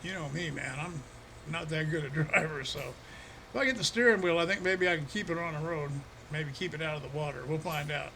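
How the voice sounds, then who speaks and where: Relaxed man streaming a farming game: froggy throat, fairly high, bright voice, desk mic, faint hiss. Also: a little fast, low-quality recording. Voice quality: froggy, slightly hoarse throat. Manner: easygoing, chuckling, talks to chat by name. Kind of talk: casual live-stream chat while playing a farming game.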